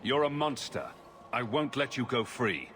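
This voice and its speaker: A man's voice: mid-range voice, very animated, unhurried, fairly bright tone, a little hiss or hum. A man playing a resolute, plain-spoken adventurer hero in fantasy video game dialogue, direct and firm. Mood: defiant.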